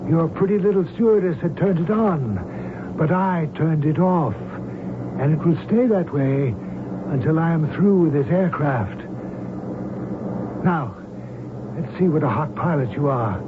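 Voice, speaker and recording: An actor playing a smug, coolly threatening hijacker cult reverend and former pilot in old-time radio drama style, formal and commanding. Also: male voice, a little high, lively intonation, a little slow, long pauses. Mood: cold threat. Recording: tinny, noisy old radio broadcast recording.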